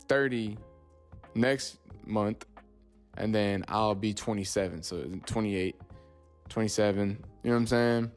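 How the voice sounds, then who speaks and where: Young man, 20s, podcast host; low voice, loose rambling delivery, faint music bed. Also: vocal fry. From Texas, USA. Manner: loose and rambling, says "you know what I'm saying" often. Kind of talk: casual solo podcast talk.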